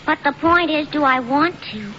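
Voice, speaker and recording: Very high-voiced young waitress. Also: female voice, lively intonation, moderate pace, bright, forceful tone. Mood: wary, questioning. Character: young waitress in love, frank and a little wary. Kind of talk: 1940s radio comedy acting. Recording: dull, noisy old radio broadcast recording.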